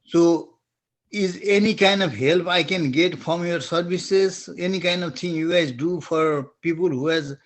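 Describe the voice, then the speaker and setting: This middle-aged man from Nepal talks in a slow, halting way, leaving gaps as he searches for the right word. His voice sits fairly high for a man, and his Nepali accent is strong. He keeps restarting his phrases as he asks a question on a webinar call. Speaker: webinar attendee asking a question.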